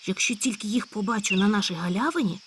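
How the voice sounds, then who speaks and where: Woman doing a calm, busy hedgehog. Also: mid-range voice, fast, noisy background, close to an everyday voice. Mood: stern. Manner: calm, matter-of-fact, scolding when cross. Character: calm hardworking male hedgehog. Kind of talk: storybook character voice.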